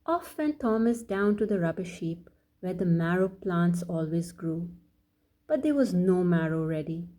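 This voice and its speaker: A woman reading a bedtime story aloud for children as a storyteller, addressing them directly in a deliberate way. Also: medium pitch, dark tone, husky. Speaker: children's storyteller and channel host.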